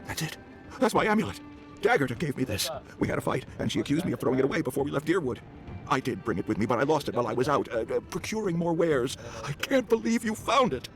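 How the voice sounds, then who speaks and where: A man playing a chatty, sentimental travelling merchant in a video game, with an expressive theatrical manner. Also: on the high side, fast talker, soft background music. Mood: startled, flustered.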